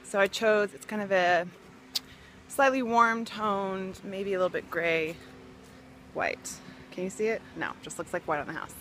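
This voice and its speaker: A woman's voice: medium pitch, a little slow, fairly bright tone, slight background noise. A young woman filming herself on a phone at arm's length, chatting casually about building her house and thinking aloud with fillers. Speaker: family vlogger. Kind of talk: casual home-building vlog. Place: house under construction and a back porch. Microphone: phone held at arm's length.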